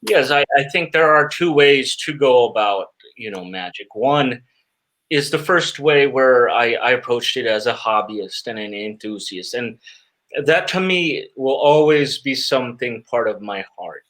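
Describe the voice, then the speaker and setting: A man answering interview questions as a guest magician, earnest and reflective, often pausing and repeating words as he searches for phrasing. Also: medium pitch, lively intonation, fairly bright tone.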